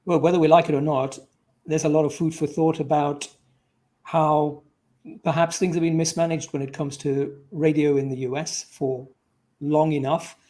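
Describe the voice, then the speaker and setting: A male interviewer with a voice pitched a bit above average for a man. He talks conversationally, setting up his questions with wordy, reflective commentary. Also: little pitch movement, moderate pace, warm tone. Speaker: interviewer, host.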